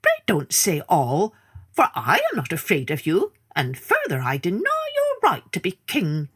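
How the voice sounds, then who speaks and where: A woman voicing a tiny, boastful male gnat in a storybook reading, talking quick, high and mocking. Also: wildly swooping pitch, fairly bright tone, husky, exaggerated voice. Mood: defiant.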